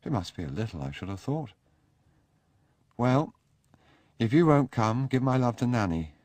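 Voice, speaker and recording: A man's voice: medium pitch, very animated, moderate pace, darkish tone, no echo, voice pushed up, close to an everyday voice. A man reading an audiobook, voicing a wretched, drunk young English aristocrat with an emotional, pleading, clipped upper-class delivery. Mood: sullen.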